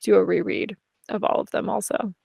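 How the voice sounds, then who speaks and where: A woman's voice: medium pitch, steady tone, fairly quick, vocal fry, a little mellow, breathy edge, dry recording, studio quality. A woman chatting casually and candidly about books as a podcast co-host, leaning on "like" as she talks. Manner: informal, candid, heavy use of "like". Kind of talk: casual book chat between friends.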